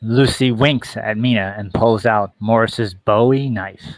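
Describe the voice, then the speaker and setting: A man's voice: on the low side, measured pace, no echo. A man reading scripted stage directions as narrator of a comic radio play.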